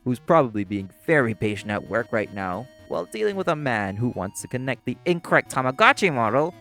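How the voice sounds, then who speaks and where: A man playing a prim, exasperated waiter in a scripted audio roleplay, speaking formally with deadpan, condescending patience. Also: mid-range voice, creaky. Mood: dry sarcasm. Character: prim, exasperated, sarcastic young waiter boyfriend. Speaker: voice actor.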